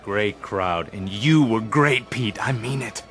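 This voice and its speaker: Loyal flattering sidekick, earnest, on the low side, radio play, music under. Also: male voice, slow pace. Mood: admiring. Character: devoted, admiring right-hand man. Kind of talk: radio drama acting. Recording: music behind.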